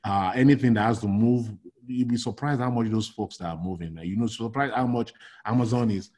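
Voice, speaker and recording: Rambling Nigerian-born man, fairly low voice. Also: fairly quick. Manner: rambling, animated, many restarts and "you know". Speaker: logistics consultant, guest. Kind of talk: casual interview talk.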